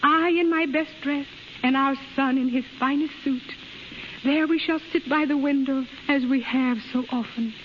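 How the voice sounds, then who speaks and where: Actress doing earnest young japanese wife, lovesick and trusting, high voice, broken english, old muffled radio play. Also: female voice, fairly even intonation, normal speed, close to an everyday voice. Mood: eager. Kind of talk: 1930s radio drama acting. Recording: scratchy, muffled 1930s radio recording.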